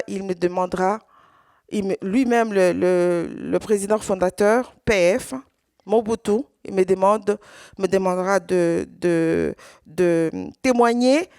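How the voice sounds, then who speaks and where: Woman, Swahili speaker, conference testimony, halting repetitions, mid pitch, dry sound. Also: darkish tone, dry recording. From Congo. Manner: halting, with many repeated words and restarts. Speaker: survivor giving testimony.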